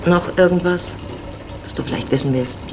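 A woman's voice: lowish voice, normal speed, noisy background, lightly acted. An actress playing a worldly, flirtatious married woman in her forties, sardonic and self-assured, in a radio drama. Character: worldly, teasing 45-year-old married Mexican woman.

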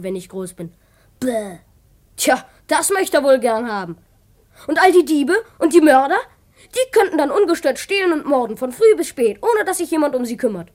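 A performer playing a boastful, earnest twelve-year-old boy who dreams of detective work, self-important and emphatic, in a children's radio play. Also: high-pitched. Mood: indignant.

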